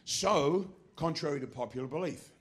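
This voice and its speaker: A man's voice: a little high, animated, moderate pace, a bit bright. A middle-aged man preaching a sermon as pastor of his church, with a non-American accent, emphatic and asking the congregation questions. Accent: foreign, not American.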